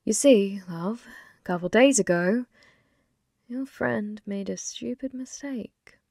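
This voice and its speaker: Woman as a flirty demon lady, taunting, possessive, gravelly fry, leisurely pace, intimate near-whispers, ASMR roleplay. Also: medium pitch, a little mellow, no room sound, clean studio sound. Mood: taunting, confiding. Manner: slow, teasing, intimate, often dropping to a whisper.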